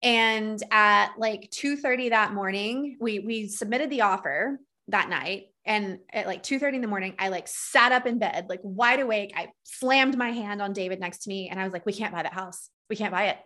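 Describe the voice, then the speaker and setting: A woman in her thirties from Texas with a Minnesota accent, telling her story in a lively, casual way and acting out her own quoted lines. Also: medium pitch, moderate pace, hardly any pauses. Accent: Minnesota, with traces of Texas. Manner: animated, lots of "like", acts out quoted lines. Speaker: guest, trauma educator and coach.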